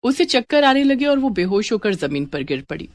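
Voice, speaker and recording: A woman's voice: on the high side, very animated, moderate pace, dry recording. A woman reading a scripted story as its narrator.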